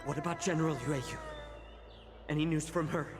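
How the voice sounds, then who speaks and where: A man's voice: on the high side, fairly even intonation, normal speed, close to an everyday voice. An actor playing an anxious, devoted male healer in a video game, with long reverb around the voice. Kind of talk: dramatic video game voice acting. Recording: long cavernous reverb, music.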